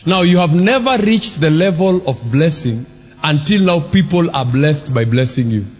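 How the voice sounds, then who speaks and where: A man preaches in a long-reverb hall, with soft music underneath. His voice sits high and swings widely in pitch. He talks slowly and shouts at the peaks. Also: expressive, highs cut off. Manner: emphatic, shouting at peaks and dropping low for asides. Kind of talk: sermon.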